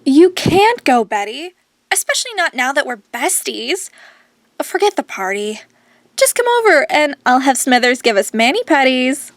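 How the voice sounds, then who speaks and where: A woman's voice: sits high, very animated, normal speed, no echo. A woman playing a haughty, coquettish rich schoolgirl with affected manners in a radio drama. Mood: haughty, breezy. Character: snooty, coquettish rich junior-high princess.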